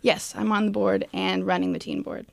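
Woman answering interview questions, lots of vocal fry, dry sound, casual and plainspoken. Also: medium pitch, huge pitch swings, normal speed, no echo. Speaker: interview guest, theater youth board leader.

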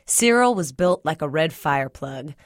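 A woman's voice: low-pitched, lively intonation, a little slow, no room sound, clean studio sound. A woman reading a first-person literary short story aloud as scripted narration. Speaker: story reader.

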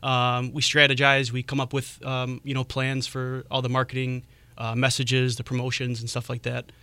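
A man being interviewed on a radio show. His voice is smooth and resonant, with a bright edge. He answers in a friendly but halting way, with plenty of ums. The studio mic is close and dry. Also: medium pitch, completely flat delivery, dry recording. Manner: hesitant, lots of "um"s, friendly. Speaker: restaurant creative director, radio guest. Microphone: studio microphone.

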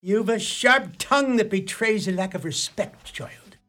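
A man's voice: high voice, lively intonation, unhurried, pushed and bright, faint music behind, full character voice. A male actor gives us a fire-and-brimstone Irish village priest for a radio play. He is stern and pompous, and he preaches in ornate, ominous phrases with an Irish accent. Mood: pious, reproving.

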